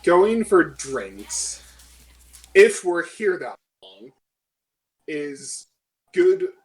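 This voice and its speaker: A man's voice: high voice, sing-song, drawn-out words, low-quality recording. A man playing a tabletop game, chatting casually with wry, teasing remarks.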